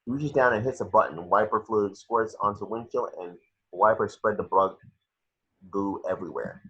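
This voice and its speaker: Brief: male narrator for stage directions, low husky voice, home table read, muffled lo-fi sound. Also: normal speed, fairly bright tone. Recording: dull lo-fi home recording.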